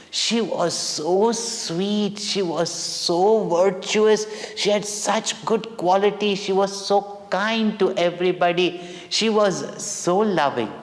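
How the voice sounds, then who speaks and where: A man with an Indian accent telling a devotional children's story as a spiritual teacher, in simple words with dramatic pauses. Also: a little high. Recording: long hall-like reverb on voice.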